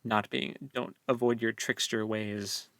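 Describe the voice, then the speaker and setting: A man's voice: lowish voice, little pitch movement, unhurried, a bit bright, dry recording. A man in his late twenties with an American accent, co-hosting a casual book discussion in a jokey way, with pop culture asides. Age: late 20s. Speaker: podcast co-host. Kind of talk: casual book club chat.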